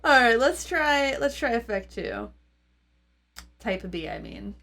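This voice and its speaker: A woman's voice: a little high, huge pitch swings, slow pace, creaky, fairly bright tone. A woman giving an informal, off-the-cuff gear demo, thinking aloud in loose fragments as she reviews. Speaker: gear reviewer and channel host. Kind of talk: casual, off-the-cuff product demo chat. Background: electric guitar playing through effects pedals.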